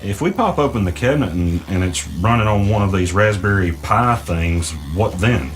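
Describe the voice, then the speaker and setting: Actor as low-voiced, cautious, easygoing man. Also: lively intonation, moderate pace, faint music behind, natural voice, poor audio. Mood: questioning. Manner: hesitant, questioning, plainspoken. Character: cautious, skeptical, easygoing small-town man. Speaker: character in a mystery audio drama. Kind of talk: naturalistic conversational audio drama dialogue.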